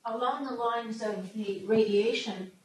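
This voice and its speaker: Loud-voiced woman asking from the audience. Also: a little high, low-quality recording. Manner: loud, halting question with fillers. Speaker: audience member asking a question.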